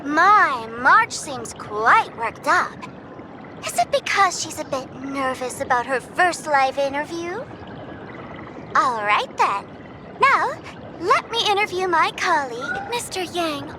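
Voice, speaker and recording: A woman's voice: sits high, lively intonation, takes it slowly, background noise. A woman voicing a bubbly, showy young livestream idol host in a game, with theatrical, sing-song delivery. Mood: showy, cheerful. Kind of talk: game voice acting, livestream presenter patter.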